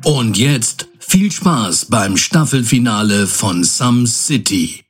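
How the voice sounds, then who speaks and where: Male announcer for scripted audio drama: unhurried delivery, average pitch, music underneath. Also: expressive, husky. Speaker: narrator and announcer.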